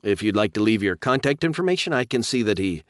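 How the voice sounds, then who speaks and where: A man's voice: fairly low pitch, sing-song, fast talker, no echo, pitched above the performer's own voice. A man voicing a woman receptionist who is courteous but firm, in an audiobook. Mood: polite firm. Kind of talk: audiobook character voice.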